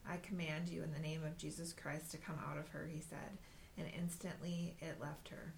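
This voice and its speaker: A woman from the congregation reading a Bible passage aloud in a steady, careful way. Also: low voice, moderate pace, creaky, slight background noise, poor audio.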